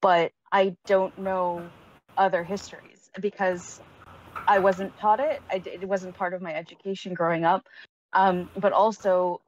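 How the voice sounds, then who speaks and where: A woman's voice: fairly low pitch, little pitch movement, unhurried, slight background noise. A woman from Canada speaking on a casual panel discussion, hesitant, with many ums and restarts. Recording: dull lo-fi sound.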